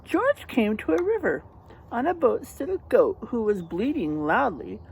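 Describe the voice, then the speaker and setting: A woman's voice: fairly high pitch, sing-song, measured pace, creaky, a little mellow, slight background noise, poor audio. A woman reading a storybook aloud for children as host, explaining simply and addressing young listeners directly.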